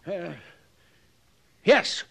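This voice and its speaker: A man playing a flustered small-town judge in an old-time radio western, hemming and hawing as he speaks. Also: very slow.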